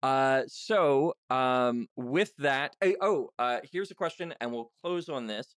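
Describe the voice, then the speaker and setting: A man hosting a podcast in a casual, chatty style, speaking in a halting way with frequent restarts. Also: medium pitch, animated, fairly bright tone, clean tone, no room sound, studio quality. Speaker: podcast host and interviewer. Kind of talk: casual interview chat.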